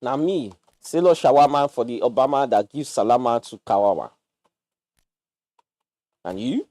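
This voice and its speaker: A man's voice: mid-range voice, moderate pace, no room sound. A young Nigerian man giving loose, joking commentary while he plays on a livestream, slipping into pidgin slang. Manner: animated, jokey, mixes pidgin slang. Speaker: gaming streamer.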